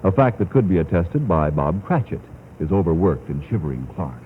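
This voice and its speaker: Low, emphatic storyteller relishing each phrase, music behind, narrow vintage radio sound. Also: male voice, big pitch swings, fairly quick. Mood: ominous, relishing. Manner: theatrical, emphatic. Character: male storyteller, wry, dramatic and relishing. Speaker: narrator. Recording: phone-like 1940s radio broadcast with music.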